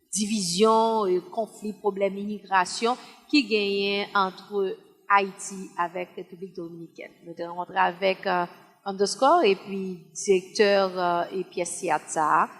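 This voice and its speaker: Haitian-accented woman host in an echoing hall. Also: mid-range voice. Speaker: TV show host and interviewer. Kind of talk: broadcast interview. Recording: very echoey hall.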